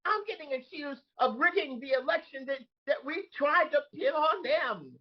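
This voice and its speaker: A woman playing an aggrieved, bewildered disgraced crony with a husky voice in a comedic table read. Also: sits high, lively intonation, normal speed, dull audio. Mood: exasperated. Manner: indignant, emphatic, dramatic. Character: Rudy, aggrieved, bewildered disgraced man trapped in woman's body.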